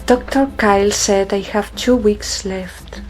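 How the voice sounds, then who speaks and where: Woman playing determined female space pilot, medium pitch, slow deliberate pacing, calm and stubborn, sci-fi radio play, light music bed. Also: lightly acted, poor audio. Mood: firm. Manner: deliberate, firm. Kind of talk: audio drama dialogue.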